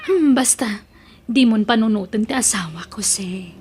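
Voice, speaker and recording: A woman's voice: a little high, normal speed, a little mellow, a little hiss or hum, natural voice. A woman with an Ilocano accent acting a devoted, doting married woman in an audio drama. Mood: doting, insistent.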